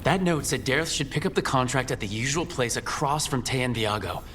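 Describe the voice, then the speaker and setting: A determined adventurer played by a man with a medium-pitched voice. He leads in a brisk, businesslike way in a fantasy game, over clear background noise. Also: expressive, a bit bright, natural voice. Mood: alert, determined. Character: alert, decisive male adventurer leading his companions. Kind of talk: dramatic video game acting.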